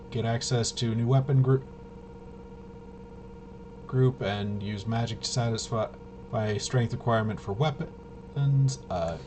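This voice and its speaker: A man giving a casual, off-the-cuff rules walkthrough as a tabletop game reviewer, in a halting, mumbling way. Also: mid-range voice. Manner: halting, mumbling through half-read rules. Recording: clearly noisy home recording.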